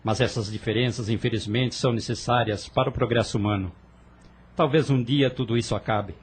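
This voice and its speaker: A man's voice: mid-range voice, fairly even intonation, a little fast, slightly rough, close to an everyday voice. An actor playing a stubborn young man who speaks earnestly and firmly to his sister in an audio drama. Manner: earnest, firm, reflective.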